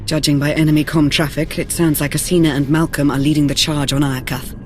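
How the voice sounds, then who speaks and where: A woman's voice: sits low, flat delivery, a little fast, a little hiss or hum, natural voice. An actress playing a self-assured, composed woman, a field agent with precise, formal speech in a video game. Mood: grave, composed. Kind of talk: video game cutscene acting. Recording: loud music under dialogue.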